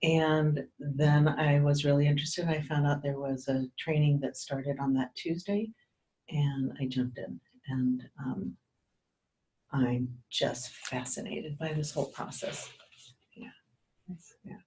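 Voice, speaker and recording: A woman's voice: low-pitched, steady tone, slow pace, mellow, rough recording. A woman talking about how she came to the training, sounding enthusiastic. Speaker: training participant. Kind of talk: casual self-introduction.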